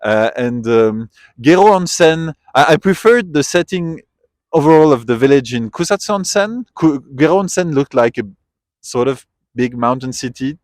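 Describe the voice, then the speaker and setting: A man speaks in a thoughtful, reflective way, pausing and restarting as he gathers his thoughts. His voice sits at a middling pitch and is recorded close and dry, with no room sound, even though he is outdoors. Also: dry recording. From Switzerland. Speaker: interviewee, tourist. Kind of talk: casual street interview. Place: outdoors at a memorial site.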